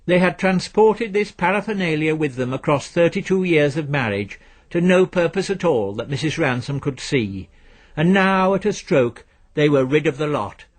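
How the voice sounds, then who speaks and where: A man narrates literary fiction in a measured, wry way. His voice sits a little high for a man and has a husky, unpolished edge. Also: lively intonation. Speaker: audiobook narrator.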